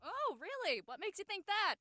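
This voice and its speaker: High, animated, sardonic young woman played by an actress. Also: moderate pace, no room sound, studio quality, exaggerated voice. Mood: sarcastic. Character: breezy, teasing, sarcastic young woman friend. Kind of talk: acted dialogue in an audio-led play.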